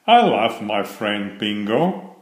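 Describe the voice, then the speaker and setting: A man reads a picture book aloud with an expressive, animated delivery. His pace is slow and deliberate, and the room around his voice is noticeably echoey. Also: on the low side. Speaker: children's book reader.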